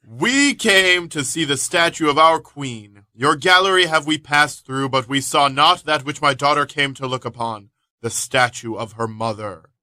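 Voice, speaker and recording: A man's voice: a little high, unhurried, hardly any pauses, bright, forceful tone, no echo. An actor playing an eager, lovestruck young man, earnest and casual, in a modern radio play. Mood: declaiming. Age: young adult.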